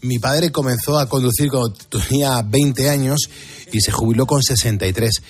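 A man with a Castilian accent from Spain, hosting live radio in a chatty, encouraging way with a husky voice. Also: medium pitch, moderate pace. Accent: Spanish, from Spain. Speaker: radio host.

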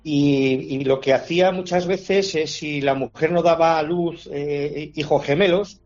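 A man's voice: a little high, steady tone, a little slow, darkish tone, lo-fi sound. A man answering as an expert guest, talking casually with frequent fillers and restarts. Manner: hesitant, many fillers and restarts. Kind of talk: conversational explanation.

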